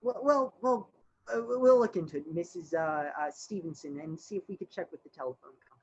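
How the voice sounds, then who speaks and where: A woman's voice: lowish voice, brisk, breathy edge. Muffled, stammering police sergeant played by a woman. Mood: placating. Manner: hesitant, stammering, mumbling. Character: slow, bumbling, uninterested police sergeant on phone duty. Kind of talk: stage radio play acting.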